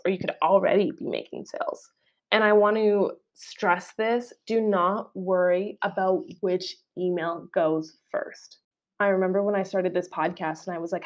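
A woman's voice: medium pitch, lo-fi sound. A young woman with a Canadian accent, hosting a solo podcast in a casual, chatty way with frequent fillers. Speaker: podcast host.

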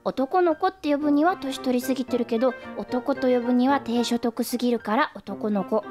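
A woman plays a sweet, airheaded girlfriend in a radio comedy. Her voice is high, and she speaks with innocent hesitation and supportive warmth. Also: normal speed, music in the background, natural voice. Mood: fond. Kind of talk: radio comedy drama acting.

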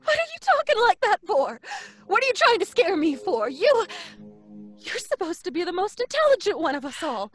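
A woman's voice: very high voice, sing-song, moderate pace, soft background music. A woman playing a defensive, frightened young woman in old-time radio style, agitated and defiant. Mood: defiant, scared.

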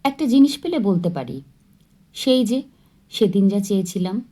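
A woman's voice: mid-range voice, big pitch swings, normal speed, mellow. A woman voicing a young man, a teasing, earnest suitor in an audiobook story. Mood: hurt, earnest.

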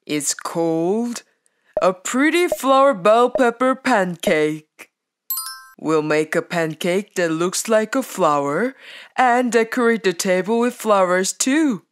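A woman voicing a kind, cheerful elephant in a children's puppet show, giving simple, gentle instruction. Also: mid-range voice, slow pace, lightly acted. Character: kind, cheerful elephant who loves cooking. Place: studio voice-over. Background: children's music.

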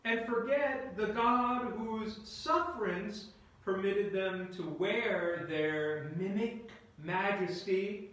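A pastor, a man in his fifties, preaching a sermon in a halting, deliberate way with repeated words and pauses, inside a church. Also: high voice, fairly bright tone. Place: indoors in a church at the front by a screen. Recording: very echoey church room.

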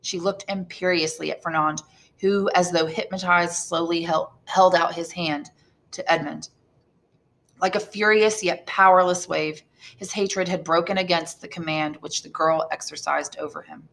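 A woman reading a classic novel aloud as an expressive storyteller. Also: on the low side, normal speed, vocal fry. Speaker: audiobook reader, English teacher. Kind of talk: expressive scripted novel narration.

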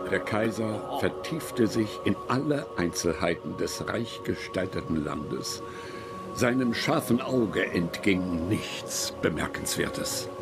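A lowish male voice plays a courtly chronicler in a radio play. He reports formally and evenly on his sovereign's voyage, with loud music underneath. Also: lively intonation, slow pace, vocal fry, full character voice. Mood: reverent, formal. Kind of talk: radio drama memoir reading.

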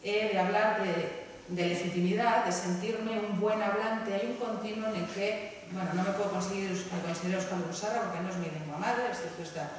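Woman panelist, Basque Country Spanish accent, halting academic delivery full of fillers; distant mic in a reverberant lecture hall. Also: mid-range voice, steady tone, slight background noise. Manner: hesitant, many fillers, reads out quotes. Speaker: panelist presenting research. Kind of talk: academic conference talk. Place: large university lecture hall. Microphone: table mic, far camera. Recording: echoey hall from far off.

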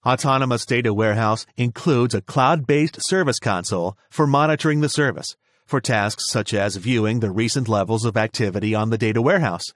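A man's voice: medium pitch, no echo. Man reading a dry promotional product overview. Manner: smooth, measured promotional delivery. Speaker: promotional narrator. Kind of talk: scripted product overview narration. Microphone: studio voice-over.

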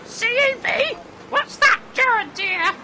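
A man's voice: animated, slow pace, over loud music, full character voice, rough recording. A man putting on the voice of a fussy, indignant old mother who frets over her garden. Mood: puzzled. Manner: shrill, indignant, twittering.

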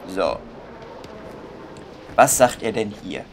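Slow-talking young man doing puzzled game commentary. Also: on the low side, animated, slight background noise. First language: German. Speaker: gaming video host. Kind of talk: casual let's-play commentary, thinking aloud. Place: indoor room at a computer. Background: game music.

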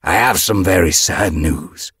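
A man voicing a cold male cat clan leader who declaims in measured, brisk phrases in an animal story. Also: low voice, fairly bright tone, slightly rough, no echo, studio quality, heavily acted. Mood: solemn announcing.

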